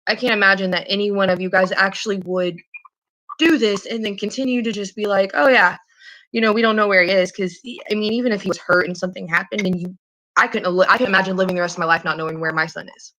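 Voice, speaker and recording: A woman's voice: medium pitch, fairly even intonation, fast, bright, forceful tone, rough recording. A woman in a casual, earnest phone conversation, rambling and hesitant, often repeating herself and swearing casually. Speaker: caller in a private phone call.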